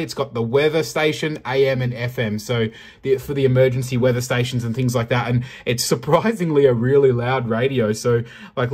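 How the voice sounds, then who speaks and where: A man giving a casual, loosely worded product review in a slightly husky voice. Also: medium pitch.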